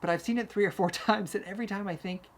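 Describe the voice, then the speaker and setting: A man's voice: high-pitched, fast. A man hosting a music talk, telling history stories casually and animatedly, acting out short quotes as he goes. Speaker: host, music educator and conductor. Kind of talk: casual storytelling about classical music history.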